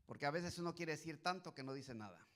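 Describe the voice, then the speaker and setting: A middle-aged pastor from Guatemala preaching as a guest, hesitant with restarts at first, then building to emphatic preaching. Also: male voice, on the high side, animated, quick pace, a bit bright. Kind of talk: sermon.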